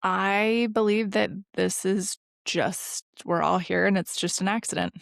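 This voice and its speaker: A woman co-hosting a podcast, chatting casually with dry, deadpan quips. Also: mid-range voice, unhurried, gravelly, dry recording. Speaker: podcast co-host. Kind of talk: casual chat.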